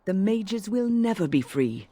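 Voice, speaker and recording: An actress playing an older, genteel female mage in a fantasy game, speaking formally and with measured kindness. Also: mid-range voice, big pitch swings, dark tone, no echo. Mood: stern warning. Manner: formal, measured, kindly diction. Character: elderly genteel female mage, wise and cautious. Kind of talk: fantasy game dialogue acting.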